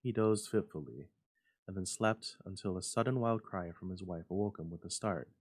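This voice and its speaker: A man's voice: low-pitched, normal speed, no room sound, clean studio sound. A man reading a literary story aloud as a dramatic narration. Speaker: story reader.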